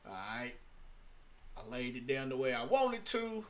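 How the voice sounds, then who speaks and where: A man's voice: mid-range voice, very animated, a little slow, heavy background noise. A man giving a casual tutorial as a music producer, thinking aloud in a rambling way and often repeating his phrases. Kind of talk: casual screen-recorded tutorial talk. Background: hip-hop beat loops playing.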